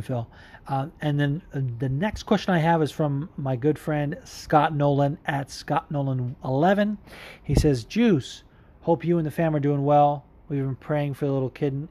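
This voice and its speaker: A man hosts a casual podcast, talking loosely and bluntly with plenty of swearing and filler words. His voice sits a touch higher than most men's. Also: mellow. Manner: candid, profane, rambling with fillers. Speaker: podcast host. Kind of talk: casual MMA commentary, answering listener questions.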